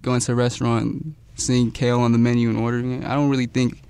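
A man's voice: mid-range voice, level tone, fast, warm tone, clear, resonant tone, dry recording. A teenage boy presenting a student radio talk show.

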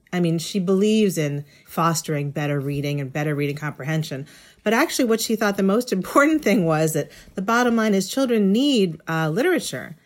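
A middle-aged woman speaking as an interview guest, explaining things in an articulate, thoughtful, conversational way. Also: on the low side. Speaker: interview guest, education writer.

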